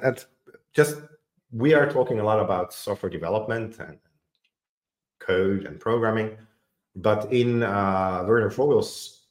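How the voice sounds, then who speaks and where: Low-voiced man in an echoey room. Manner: hesitant, many fillers and repeated words. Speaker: podcast host. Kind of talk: casual technical discussion.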